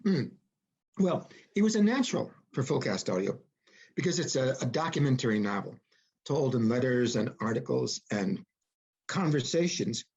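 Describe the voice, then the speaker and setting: A man's voice: medium pitch, big pitch swings, moderate pace, creaky, darkish tone, slightly rough, muffled recording. A man speaking as an interviewed author and producer, explaining things reflectively with folksy turns of phrase. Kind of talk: interview conversation.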